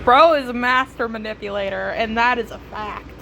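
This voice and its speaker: A woman's voice: on the high side, brisk, gravelly, bright, forceful tone, a little hiss or hum, low-quality recording. A woman giving casual gaming commentary as she plays, playful and wry, with slangy asides. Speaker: game streamer.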